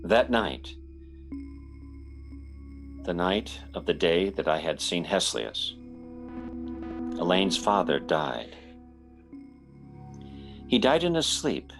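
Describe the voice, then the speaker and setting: A man's voice: sits low, big pitch swings, slow pace, long pauses, over music, heavily acted. A man playing an earnest, anxious young fiancé in an old-time radio drama, speaking with theatrical hesitancy. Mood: somber narration. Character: anxious, polite young fiancé telling his eerie tale.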